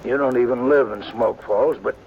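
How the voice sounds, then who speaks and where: An actor playing a wounded, remorseful old sheriff who speaks weakly and haltingly in a 1950s radio drama. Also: male voice, medium pitch, creaky, lo-fi sound, slight background noise, lightly acted. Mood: pleading. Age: older. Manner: weak, halting, pleading.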